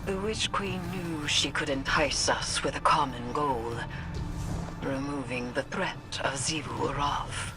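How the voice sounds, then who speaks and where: A woman playing a grave, cryptic mystic in a video game, stern, solemn and menacing, speaking with deliberate weight. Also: sits low. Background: game music.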